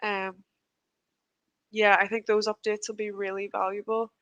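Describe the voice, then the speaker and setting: A woman's voice: mid-range voice, flat delivery, measured pace, a bit bright, no echo. A woman hosting a casual livestream chat, talking in a chatty, hesitant way with lots of filler words. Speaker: host of a livestream chat show.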